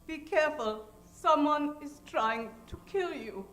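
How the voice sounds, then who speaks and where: A woman's voice: high-pitched, measured pace, fairly bright tone, soft background music. A woman playing a meek, hesitant, stammering damsel in distress in an improvised old-time radio parody. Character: timid, self-effacing young damsel in distress. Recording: roomy live stage sound.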